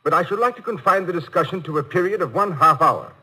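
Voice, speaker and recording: A college board chairman from vintage radio comedy, formal and exasperated. His husky, bright voice rises and falls freely as he keeps order with courteous, precise phrasing. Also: high-pitched, lively intonation, fast talker, heavily acted, poor audio. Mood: measured, formal. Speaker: actor. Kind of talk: 1950s radio comedy acting. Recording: vintage 1950 radio broadcast.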